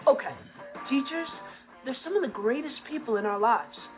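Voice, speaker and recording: A young American man playing an earnest, excitable, gullible teenage boy in a sitcom, telling stories to the audience in an animated, emphatic way. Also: very high voice, moderate pace, over loud music, rough recording. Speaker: sitcom actor. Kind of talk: comedic monologue to the audience.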